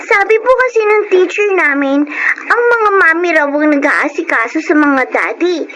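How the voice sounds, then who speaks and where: A woman's voice: sits high, lively intonation, moderate pace, noisy background. A woman voicing a sweet, curious young girl who talks politely and eagerly in a radio drama. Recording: dull lo-fi radio recording.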